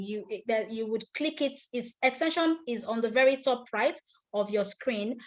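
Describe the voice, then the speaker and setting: Nigerian woman walking a class through software. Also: on the high side. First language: Igbo. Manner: step-by-step, repeats herself, asks attendees questions. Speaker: online class instructor. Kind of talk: live screen-share tutorial.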